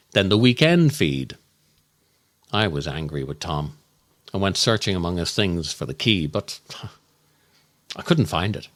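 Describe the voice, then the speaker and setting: A man delivering a scripted comic monologue as a storyteller, with dramatic, deliberate and darkly comic delivery. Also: medium pitch, a bit bright. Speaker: comedian and podcast host.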